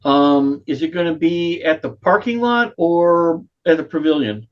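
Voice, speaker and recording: A man's voice: on the high side, expressive, measured pace. A man casually asking questions as a club member on a video call, with dull, lo-fi sound.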